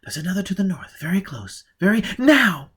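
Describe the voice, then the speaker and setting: A man reading a story aloud, voicing an aloof highborn lady in high, breathy whispers that turn dreamy and disdainful. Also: very animated, brisk, a bit bright, full character voice. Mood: excited. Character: aloof highborn blind lady, rapt and mocking.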